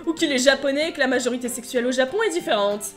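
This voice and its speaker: A high-voiced woman speaks quickly over light background music. She moves a mock-trial debate along with scripted lines, sounding formal and wry. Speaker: presiding panelist of a mock trial. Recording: music behind the voice.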